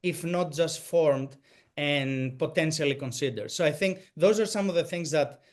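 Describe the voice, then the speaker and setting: A man with a Greek accent answering a question after a presentation, halting, with many restarts and fillers. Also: a little high. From Greek American.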